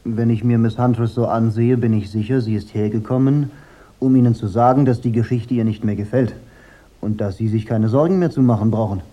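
An actor playing a wry, unflappable private detective in a German radio drama. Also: male voice, medium pitch, steady tone, brisk, warm tone, natural voice. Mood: probing, sardonic. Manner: dry, sardonic.

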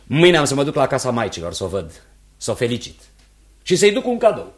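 An actor playing an earnest, carefree young engineer engaged to be married, in a radio theatre style. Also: male voice, mid-range voice, wildly swooping pitch.

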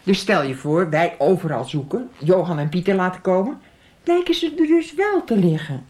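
A woman's voice: lowish voice, animated, moderate pace, darkish tone, natural voice. An actress playing a weary, touchy middle-aged mother of a teenager in a radio soap. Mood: prying, edgy.

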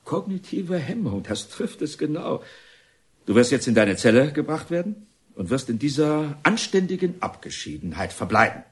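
An actor playing a stern, smugly patient reformatory director in a German radio play, speaking in a pompous, declamatory way. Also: male voice, on the high side, big pitch swings, moderate pace. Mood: lecturing, stern.

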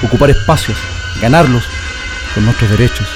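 A man's voice: mid-range voice, moderate pace, close to an everyday voice, rough recording. An actor with a Chilean accent playing a defiant male political prisoner in a radio drama, earnest and emphatic. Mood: resolute, confiding. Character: defiant male political prisoner under a dictatorship, grieving and organizing resistance. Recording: music bed under the voice.

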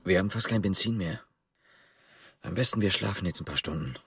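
A man's voice: deep voice, brisk. Terse, guarded policeman on the run. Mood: subdued, guarded. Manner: terse, understated. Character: guarded, brooding East German policeman on the run. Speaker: actor in a radio drama. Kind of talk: naturalistic German radio drama dialogue. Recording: noisy, car and traffic sounds. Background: vehicle ambience.

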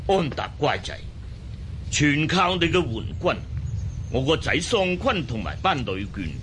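An actor playing a hearty, paternal old khan in a Cantonese radio drama, with a husky voice and a weighty manner. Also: male voice, fairly high pitch, sing-song, unhurried, fairly bright tone, a little hiss or hum. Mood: hearty, grateful.

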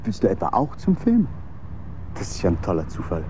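A man's voice: low voice, huge pitch swings, fairly quick, warm tone. A man acting in a German radio drama as a hopeful, naive young would-be film director, earnest and friendly. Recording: clear outdoor street and traffic noise. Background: city street ambience with vehicles.

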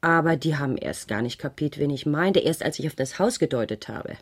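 An actress in a German radio crime play playing an uneasy married schoolteacher who gives a hesitant, detailed account to police. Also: female voice, low voice, animated, fairly quick, breathy edge, heavily acted. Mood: uneasy, recounting. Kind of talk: 1980s German radio drama dialogue.

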